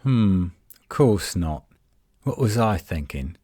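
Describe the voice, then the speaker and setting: A man playing a cocky, sardonic werewolf guardian in a roleplay audio, teasing and flirtatious, with a husky, drawling delivery. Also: sits low, animated, takes it slowly, dark tone, no echo, studio quality, exaggerated voice. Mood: teasing, seductive. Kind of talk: roleplay audio acting, one side of a dialogue.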